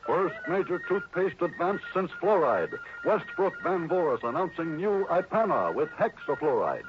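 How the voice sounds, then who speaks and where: A man's voice: a little high, big pitch swings, normal speed. An American man reading a 1960s radio commercial as an emphatic announcer. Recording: dull old radio broadcast, faint music.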